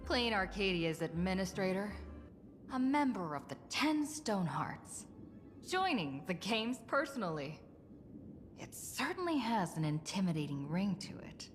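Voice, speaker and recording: Poised shrewd young woman, lively intonation, dramatic pointed phrasing, long reverb, game dialogue. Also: medium pitch, measured pace. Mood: coolly provocative. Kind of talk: video game voice acting. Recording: long reverb, faint music behind.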